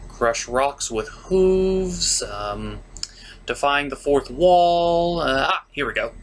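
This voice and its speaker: Animated, exasperated reviewer with a swooping delivery. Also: mid-range voice, a little hiss or hum. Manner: exasperated, sarcastic, theatrical emphasis. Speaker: host of a cartoon review show. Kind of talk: comedic review rant with scripted recap.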